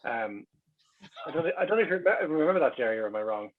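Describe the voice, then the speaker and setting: A man's voice: a little high, expressive, fast talker. An Irish man casually reminiscing about family as an interview guest, chatty and often correcting himself. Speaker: guest, recalling his family. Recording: dull, lo-fi radio-like sound.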